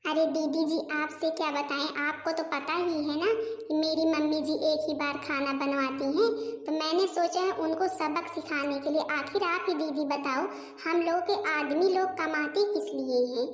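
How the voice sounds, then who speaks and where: A woman's voice: sits high, fairly even intonation, moderate pace, faint music behind, close to an everyday voice. A woman voicing an aggrieved, scheming young village daughter-in-law in a comedy cartoon, chatty and complaining. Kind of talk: Hindi comedy cartoon dialogue. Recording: echoey, long reverberant room sound.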